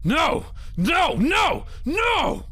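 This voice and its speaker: A man's voice: very high voice, wildly swooping pitch, drawn-out words, pushed and bright, shouting, heavily acted. A man acting a hot-headed, distrustful bank robber in a horror radio play. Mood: frantic.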